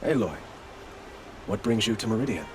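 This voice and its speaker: An actor playing a courteous, dutiful male ruler in a video game, with a formal, measured manner. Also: fairly low pitch, expressive, noisy background, lightly acted. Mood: concerned, hesitant. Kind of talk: dramatic video game dialogue.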